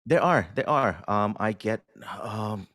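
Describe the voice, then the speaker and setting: A man's voice: fairly low pitch, animated, slow, a bit bright. A man answering as an interview guest in a casual, unassuming, self-deprecating way, with hesitant phrasing.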